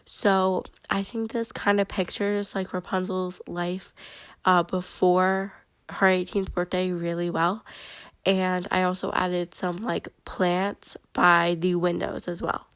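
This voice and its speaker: A woman's voice: lowish voice, smooth, dry recording. Stuffy-nosed chatty woman vlogger. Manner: chatty and excitable, many fillers. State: stuffy from a cold. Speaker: bullet journal vlogger. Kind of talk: casual voiceover narration.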